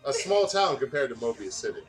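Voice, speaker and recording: A man's voice: medium pitch, big pitch swings, fairly quick, faint music behind, rough recording. A man who hosts let's plays, reading fan fiction aloud and chatting casually. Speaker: let's play host.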